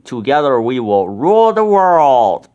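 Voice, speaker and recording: A man voicing a scheming male villain in a children's storybook, growling low and slow with menace. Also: big pitch swings, a little mellow, clean tone, muffled recording. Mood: commanding.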